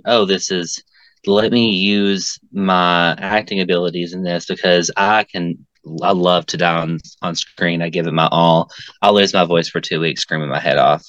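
A man's voice: deep voice, fairly bright tone, clean tone, no echo, poor audio. A man, a filmmaker and actor, talking casually as an interview guest, rambling with frequent fillers. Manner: talkative, frequent "um" and "like". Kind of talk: casual conversation.

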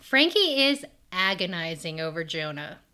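A woman's voice: fairly low pitch, big pitch swings, moderate pace, sharp tone, clean tone. A woman co-hosting a podcast, recapping a TV episode in casual chat, laughing often and swearing freely. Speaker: podcast co-host.

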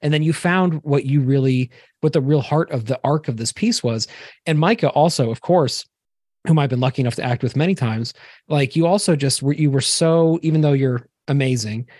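A man with a medium-pitched voice hosts a casual roundtable. His recording is very dry. He is effusive and informal, talking in anecdotes as he addresses his colleagues. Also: normal speed, darkish tone, no room sound. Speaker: podcast host and short film co-director.

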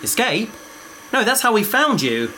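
An actor playing an eager bookish explorer. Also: male voice, high-pitched, big pitch swings, measured pace, pushed and bright, a little hiss or hum, full character voice, low-quality recording. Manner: enthusiastic, lecturing. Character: bookish, curious young adventurer. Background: music.

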